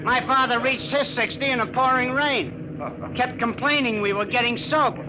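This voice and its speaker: A man's voice: extremely high pitch, fairly even intonation, moderate pace, background noise. An actor playing a blunt, nosy, callous working-class man in a 1950s radio drama, chatty and folksy. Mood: chummy. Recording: dull old radio, crowd behind.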